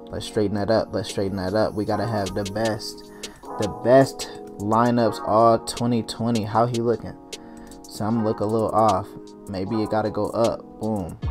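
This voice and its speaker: A man's voice: fairly low pitch, measured pace, long pauses. A young man hosting his own animation channel, talking casually in slang as he walks through a drawing tutorial. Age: young adult. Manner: hyped barber persona at the start, then relaxed mumbling while working. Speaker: cartoon animator and channel host. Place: home studio at desk. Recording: music playing under the voice.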